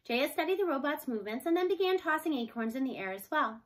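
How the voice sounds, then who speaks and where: A woman's voice: sits high, expressive, quick pace. A woman reading a children's novel aloud as scripted storybook narration. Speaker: storybook reader.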